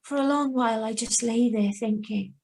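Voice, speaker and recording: High female voice, woman acting a troubled young heroine, hesitant, brooding, radio drama, video call reading. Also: normal speed, darkish tone, lightly acted. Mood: brooding, reflective. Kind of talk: radio drama acting with first-person narration. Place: remote, on a Zoom video call.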